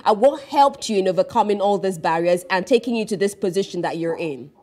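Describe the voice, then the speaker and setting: A woman's voice: medium pitch, expressive, a little fast, a little mellow. Formal woman news anchor asking questions. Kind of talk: studio news interview. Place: television studio.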